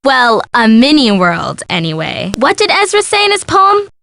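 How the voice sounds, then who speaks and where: A woman's voice: high-pitched, huge pitch swings, moderate pace, vocal fry, a bit bright, slight background noise, heavily acted. A woman voicing a perky, teasing young sidekick in a detective video game. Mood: playful teasing. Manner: bubbly, teasing, playful.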